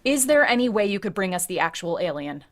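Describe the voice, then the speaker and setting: A woman's voice: medium pitch, big pitch swings, fast talker, slight background noise, lightly acted. An actress playing a female reporter in an audio drama, speaking in a tentative, questioning way.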